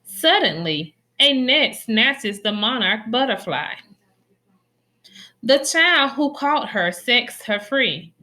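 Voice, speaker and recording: A woman reading a children's nonfiction story aloud in a deliberate, instructional way for young listeners. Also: on the high side, sharp tone.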